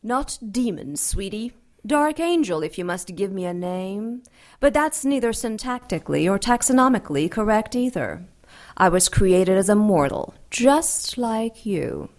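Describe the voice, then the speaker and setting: Slow, seductive dark angel. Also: female voice, medium pitch, expressive. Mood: vain, amused. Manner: purring, teasing, theatrical, savoring her words. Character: seductive, vain ancient dark angel woman, amused and menacing. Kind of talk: audio drama acting.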